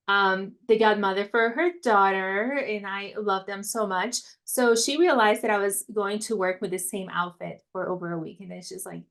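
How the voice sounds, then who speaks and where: A woman from Brazil with a Brazilian accent, a money mindset coach who tells her story as a podcast guest and laughs often. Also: mid-range voice. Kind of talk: podcast interview, personal story.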